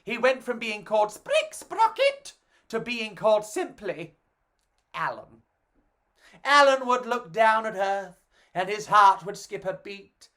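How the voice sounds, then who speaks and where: A woman tells stories to children in a theatrical, expressive way. Her pitch swings in a lively manner. She takes her time, drawing out the lines for young listeners. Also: slow. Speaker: children's storyteller. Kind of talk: animated storytime reading for children.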